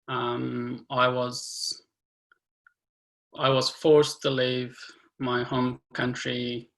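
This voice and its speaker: A man in his twenties from Afghanistan shares his story earnestly, pausing often with fillers. His voice is bright and gravelly. He holds an even, level tone over a video call. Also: mid-range voice, poor audio. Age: 20s. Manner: earnest, halting, many fillers. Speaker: guest speaker. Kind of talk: personal testimony on a webinar.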